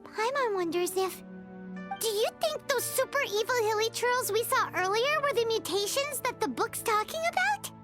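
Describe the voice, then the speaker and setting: A tiny, bubbly fairy sidekick from a video game. The voice is extremely high and squeaky, bouncing around with animated, childlike curiosity. Music plays clearly behind it. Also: female voice, moderate pace. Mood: curious, eager. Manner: refers to self in third person, exclaiming, expressive. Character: small childlike floating fairy companion, bubbly, curious, cute. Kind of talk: video game character dialogue.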